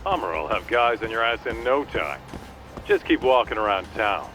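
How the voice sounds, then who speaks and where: An actor with an American accent playing a brash, bossy sidekick in a video game, blunt and streetwise. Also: male voice, on the low side, lively intonation, normal speed, a bit bright, a little hiss or hum. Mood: upbeat, scheming.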